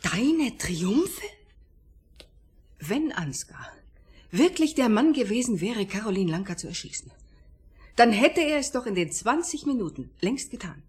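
An actress playing a disillusioned, sardonic wife of a psychotherapist, with cutting delivery in a German radio play. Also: female voice, fairly high pitch, big pitch swings, measured pace, pauses often, slightly rough, full character voice. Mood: bitter, scornful.

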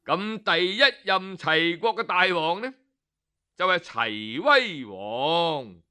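A man with a Hong Kong Cantonese accent telling a traditional story, animated and dramatic, with interjections and pauses. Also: a little high, clean tone.

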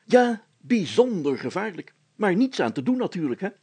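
A man's voice: high-pitched, sing-song, normal speed, darkish tone, slightly rough, dry recording, voice pushed up, full character voice. A man voicing a pompous, optimistic village mayor in a storybook, speaking emphatically and with self-importance. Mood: grave, emphatic.

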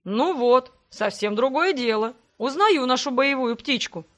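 A woman voicing a protective, brisk man in a fantasy audiobook, fondly teasing and giving orders. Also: high-pitched, animated, fairly bright tone. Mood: fond, bossy.

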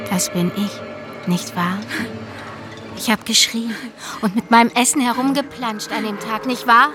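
Woman acting eerie, high-voiced ghostly little sister. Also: unhurried, music in the background, close to an everyday voice. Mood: accusing. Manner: probing, taunting, insistent. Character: dead little sister, eerily calm, insistent and accusing. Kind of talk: radio drama acting.

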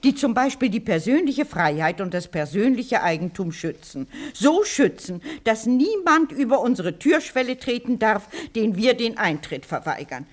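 A woman's voice: on the high side, sing-song, normal speed, few pauses. An actress playing a strong-willed, unsentimental older woman in a radio play, speaking precise in an imperious, argumentative manner. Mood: indignant, firm. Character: strong-willed, sharp-witted old woman, bossy and unsentimental. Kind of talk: German radio play acting.

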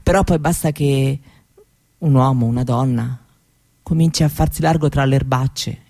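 A woman performing a first-person theatrical monologue in a reflective, unhurried manner with deliberate pauses. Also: very deep voice, dark tone, dry recording. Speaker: author and stage performer.

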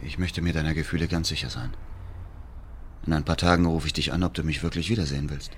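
An actor playing a bitter, vengeful widower in a German radio drama, speaking in a quiet, controlled, measured way. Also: male voice, a bass voice, steady tone, a little hiss or hum. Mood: hesitant, soft. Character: cold, vengeful, bitter widower narrating his scheme.

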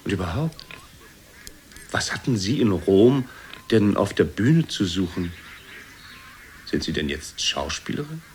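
A man's voice: sits low, very animated, unhurried, pauses often, a little hiss or hum, heavily acted. An actor playing a meek, despondent ageing womanizer in a radio play, pleading apologetically and defensively with his mother. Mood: puzzled. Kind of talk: German radio play acting.

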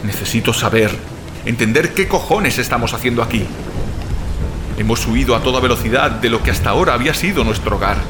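A man's voice: medium pitch, animated, a little fast, natural voice. A man with a Castilian Spanish accent playing a bewildered, sceptical, foul-mouthed brother in a dramatic audio fiction. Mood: uneasy, brooding. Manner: blunt, swearing, dramatic. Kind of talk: Spanish audio drama with first-person narration. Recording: loud music bed under the voice. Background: loud music, storm sounds.

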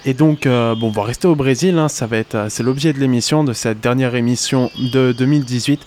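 A man with a French accent hosting a campus radio music show, talking in a relaxed, halting way with fillers. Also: mid-range voice, a little hiss or hum. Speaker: radio host. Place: radio studio.

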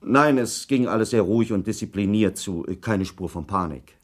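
An actor in a German radio documentary drama playing a defensive British ship's officer testifying at an inquiry, answering firmly, formally and in clipped phrases with some hesitation. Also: male voice, on the low side, moderate pace, natural voice. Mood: controlled, formal.

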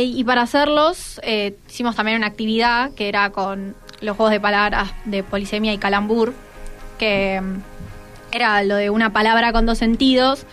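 A woman's voice: medium pitch, a bit bright. A teenage female student with an Argentine accent, casually explaining as a radio guest. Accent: Argentine, Rioplatense. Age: teens.

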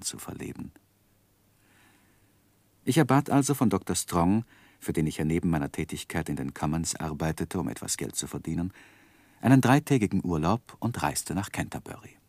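An actor in a German radio play playing a reflective gentleman who narrates his own life in measured, literary phrases. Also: low-pitched, dry recording, full character voice. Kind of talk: scripted first-person narration in 1960s German radio drama.

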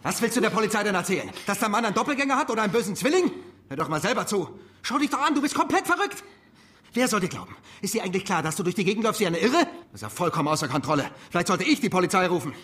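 A man's voice: sits high, big pitch swings, very fast. An actor playing a cold, mocking, manipulative male stranger in a German radio drama, taunting and pressing. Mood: furious, scornful. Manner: taunting, interrogating, swings between hushed and explosive.

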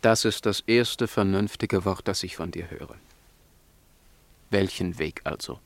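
A rather low-pitched conspirator in a radio drama. He is sceptical and impatient, with a terse, challenging way of pressing his point. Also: a little slow, vocal fry, no echo. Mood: dry, pressing. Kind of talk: dramatic radio play dialogue.

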